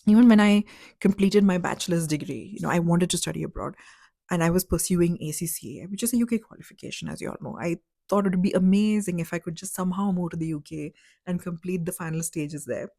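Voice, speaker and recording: Woman, 30s, from Dubai; low-ish, mellow voice, candid storyteller, dry close sound. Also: fast talker, creaky, no room sound. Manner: candid, reflective, conversational with fillers like "like" and "you know". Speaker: vlogger. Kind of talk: personal story vlog.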